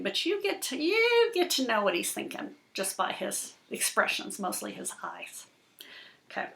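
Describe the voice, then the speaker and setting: A woman's voice: mid-range voice, gravelly, a bit bright. A woman teacher presenting a read-aloud lesson for young children, explaining and addressing them directly with questions. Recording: roomy, echoing indoor sound.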